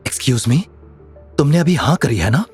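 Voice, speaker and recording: A man's voice: mid-range voice, lively intonation, measured pace, slightly rough, faint music behind. A man voicing a stubborn, threatening rich young woman in an audio story, demanding and insistent. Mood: irritated, threatening.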